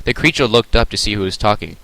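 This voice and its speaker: A man's voice: lowish voice, quick pace, vocal fry, sharp tone, no echo, poor audio. A man reading his own fan fiction aloud late at night with a sore throat, casual and hesitant. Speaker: amateur reader. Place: home at night.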